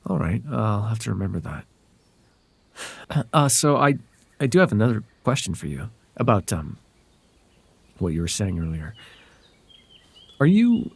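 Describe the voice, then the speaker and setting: Man acting a sly, breathy-rough male fairy, lively pitch. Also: no echo, exaggerated voice. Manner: hesitant, intimate, conversational. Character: whimsical, smitten male fairy traveller, softly possessive and sly. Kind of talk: ASMR roleplay voice acting.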